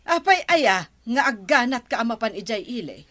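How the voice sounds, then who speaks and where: An actress playing a nagging, suspicious and stubborn wife in an Ilocano-accented radio comedy drama. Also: female voice, on the high side, very animated, normal speed, fairly bright tone.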